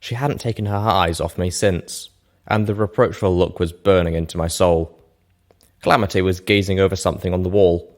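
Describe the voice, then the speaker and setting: A man with a low, deep voice reads scripted fiction in the first person. The narration is measured and expressive, like a storyteller hosting a reading show.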